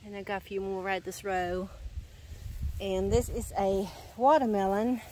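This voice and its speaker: A woman's voice: medium pitch, steady tone, unhurried, warm tone, slight background noise, rough recording. A woman with a stuffy, nasal voice from congestion, rambling and correcting herself as she walks through her home garden outdoors, filming with a handheld camera. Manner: rambling, self-correcting, sighing. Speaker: gardening vlogger. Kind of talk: casual garden walk-through. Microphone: handheld camera filming while walking.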